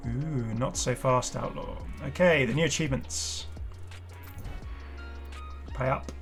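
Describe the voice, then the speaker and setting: A man's voice: medium pitch, takes it slowly, a bit bright, faint music behind. A man giving casual, off-the-cuff video commentary as a gaming host, rambling and hesitant with apologetic asides.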